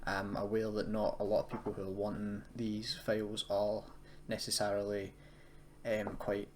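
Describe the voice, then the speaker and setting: A man's voice: fairly low pitch, completely flat delivery, moderate pace, a little mellow. A man presenting a casual screen-recorded tutorial, explaining in an unscripted, hesitant way. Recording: noisy home recording, keyboard typing.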